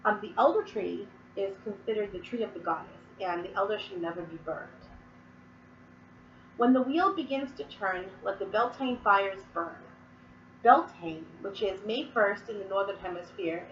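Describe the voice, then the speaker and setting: A woman's voice: mid-range voice, normal speed, reverberant, distant mic, highs cut off, a little hiss or hum. A woman from Massachusetts explaining Wiccan verses in casual, rambling talk full of fillers, sniffling and coughing from a cold. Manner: chatty, rambling with many fillers. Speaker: Wiccan teacher.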